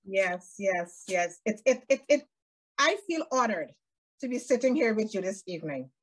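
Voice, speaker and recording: A woman's voice: medium pitch, lively intonation, moderate pace. A middle-aged woman from Guyana with a Guyanese accent, a jovial podcast guest chatting on a video call from a room at home. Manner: jovial, laughs often. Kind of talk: casual interview chat.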